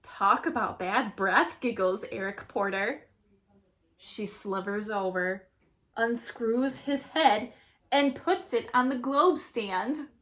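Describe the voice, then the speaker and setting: A woman reading a children's story aloud as a teacher would, with expressive, dramatic narration. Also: on the high side, slow pace.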